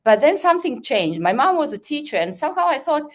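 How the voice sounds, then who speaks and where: A woman from Croatia who heads a school, introducing herself on a video call and telling her story warmly. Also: high-pitched, very animated, fast. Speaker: head of school. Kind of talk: panel self-introduction.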